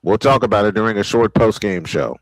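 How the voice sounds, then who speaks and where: A man's voice: lowish voice, lively intonation, a little fast, dry recording. A man announcing a baseball game live, mixing old-school play-by-play with casual asides. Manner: old-school sportscaster delivery.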